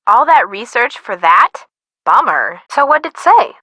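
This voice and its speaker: A peppy young female sidekick in a mystery game. Her high, bright voice swings expressively through sassy, teasing banter. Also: very animated, a little slow, dry recording, full character voice. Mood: teasing, eager. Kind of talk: scripted mystery game voice acting.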